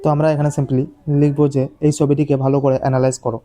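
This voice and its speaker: A man giving an informal step-by-step tutorial in a halting, repetitive way. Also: medium pitch, level tone, warm tone. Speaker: tutorial host.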